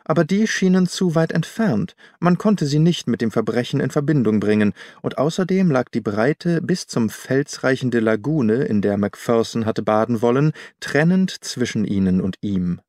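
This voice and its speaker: A man reading a literary detective story as an audiobook narrator, in a measured, precise and clearly enunciated way. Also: mid-range voice, animated, a little mellow, no room sound, clean studio sound. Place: dry recording studio.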